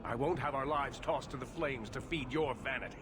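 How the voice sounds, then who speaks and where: A man's voice: medium pitch, a little fast, noisy background, close to an everyday voice. An actor playing a proud, weary male mage leader who speaks with dry, cutting sarcasm. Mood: sardonic.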